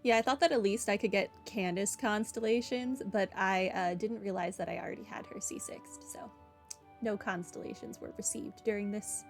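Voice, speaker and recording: A woman's voice: mid-range voice, fairly even intonation, moderate pace. A woman doing casual playthrough commentary as a game streamer, chatting informally. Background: game music.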